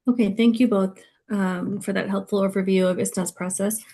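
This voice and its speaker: A woman's voice: medium pitch, steady tone, moderate pace, mellow. A woman moderating a webinar on a video call, handing off politely with brief fillers.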